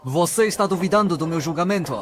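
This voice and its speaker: An actor voicing an arrogant young sect master in a dubbed motion comic, talking with scorn and pride. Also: male voice, a little high, fast, fairly bright tone, slight background noise, lightly acted. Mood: commanding. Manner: haughty, contemptuous.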